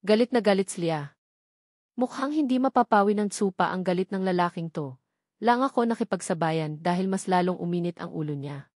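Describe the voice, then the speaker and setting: A woman's voice: on the low side, expressive, fairly quick, darkish tone, no room sound, studio quality. A woman with a Filipino accent reading a first-person novel aloud as a scripted narration. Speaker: audiobook narrator.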